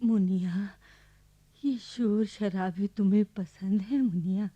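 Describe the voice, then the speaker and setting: A woman's voice: mid-range voice, slow pace, warm tone, muffled recording. A woman playing a simple, weary seventy-year-old village grandmother in a radio play, plain-spoken and homely. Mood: weary, tender.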